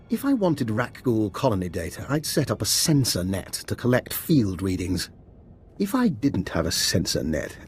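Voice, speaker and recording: A man playing an eccentric, witty scientist in a video game, wry and theatrical with precise phrasing. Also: lowish voice. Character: eccentric, witty male scientist, slyly genial.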